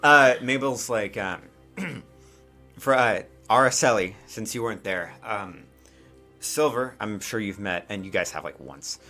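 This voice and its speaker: A man casually improvising narration as a game master, informal and halting, with frequent asides. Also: lowish voice, sharp tone, faint music behind.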